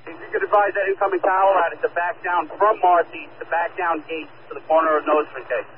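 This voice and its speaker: A man's voice: fairly high pitch, steady tone, brisk, noisy background. A man reporting from the field as a firefighter, in clipped, procedural emergency radio talk through a tinny transmission. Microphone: two-way radio.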